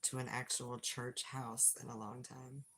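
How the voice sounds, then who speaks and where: An older woman with a low, husky voice chatting casually with viewers on a phone livestream. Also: level tone, unhurried, fairly bright tone. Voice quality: low, gravelly voice. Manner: chatty, reads and answers chat aloud. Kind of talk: casual live chat with viewers. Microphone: phone without external mic.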